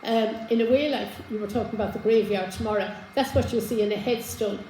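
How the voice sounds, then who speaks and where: A hoarse woman giving an informal genealogy talk to a live audience, chatty, with many fillers and restarts. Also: a little high, fairly even intonation, fast talker, dark tone, echoey room, slight background noise, rough recording. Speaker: genealogy speaker. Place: community hall with an audience. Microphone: handheld microphone.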